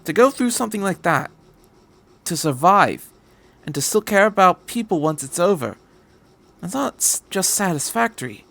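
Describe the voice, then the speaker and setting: A man acts out an eccentric time-travelling man in a fan fiction story. His voice sits fairly high and he speaks with earnest emphasis, reassuring and energetic, repeating words as he drives a point home. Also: moderate pace, creaky, pauses often, exaggerated voice. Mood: impassioned, insistent.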